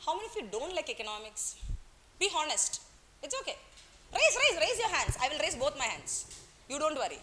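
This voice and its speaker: Lively bright-voiced woman teacher. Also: high voice, brisk, a little hiss or hum. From Kerala, India. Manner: playful, teasing, coaxing students, mixes in Hindi words. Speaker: economics teacher. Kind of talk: classroom lecture. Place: classroom.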